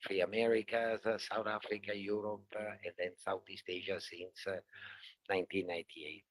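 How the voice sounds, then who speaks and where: An older man from Italy with an Italian accent, speaking casually as a panelist in a halting, jokey manner with many pauses. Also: sits low, flat delivery, rough recording. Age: 60s or older. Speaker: panelist, property entrepreneur. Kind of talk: casual self-introduction to a live audience.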